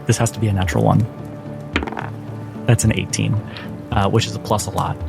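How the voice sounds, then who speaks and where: A man running a tabletop game as game master, switching between rules talk and deliberate, dramatic narration. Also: lowish voice, flat delivery, vocal fry. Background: background music.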